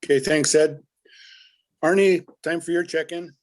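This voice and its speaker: A man leading a group call, calling on members one by one in a loud, brisk voice. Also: fairly high pitch, steady tone.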